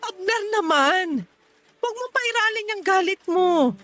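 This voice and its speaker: High-voiced, pleading devout mother. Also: animated, unhurried, a bit bright, full character voice. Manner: pleading, reproachful. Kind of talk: Filipino radio drama acting.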